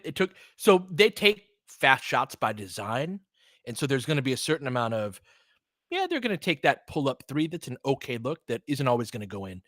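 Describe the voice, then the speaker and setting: A man's voice: mid-range voice, fairly bright tone, no echo, studio quality. A man giving live basketball play-by-play and casual analysis as host, conversational and informal, reacting to plays like a fan.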